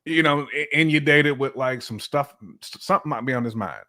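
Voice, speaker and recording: A man's voice: mid-range voice, brisk, sharp tone. A man giving his opinions in casual, colloquial conversation, often acting out imagined dialogue as he goes. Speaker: podcast guest or co-host.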